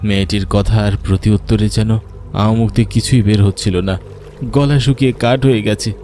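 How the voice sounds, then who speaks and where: A man's voice: fairly low pitch, fairly even intonation, brisk, dark tone, faint music behind. A man narrating a scripted first-person horror story in a dramatic, suspenseful way.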